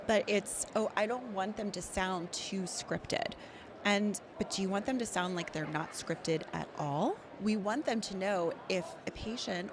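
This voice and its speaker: Female expert guest, mid-pitched, emphatic and instructive, conversational interview delivery over clearly audible ambient noise. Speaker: practice management consultant and guest speaker.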